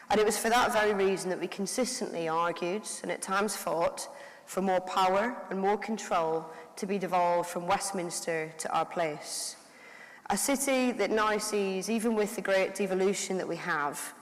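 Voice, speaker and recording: Woman introducing a keynote, formal and measured, lowish voice, long reverberant hall. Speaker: council leader introducing the keynote. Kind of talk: prepared conference speech. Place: large conference hall.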